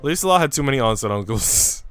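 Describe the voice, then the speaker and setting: A man streaming a visual novel, reading it aloud as expressive narration and making casual asides. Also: mid-range voice, fast talker, clean tone. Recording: music playing behind the voice. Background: music.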